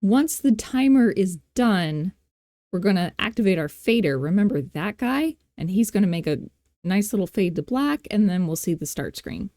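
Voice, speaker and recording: A woman hosting a software tutorial, thinking aloud in an informal, playful, self-deprecating way into a close mic. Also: medium pitch, expressive, normal speed, no echo. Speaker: tutorial host.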